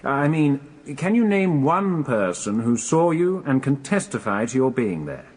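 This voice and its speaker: A man's voice: medium pitch, sing-song, measured pace, darkish tone, slightly rough. A man playing a polite, slightly hesitant British defence barrister in a radio courtroom drama, formal and probing. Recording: reverberant courtroom-like hall.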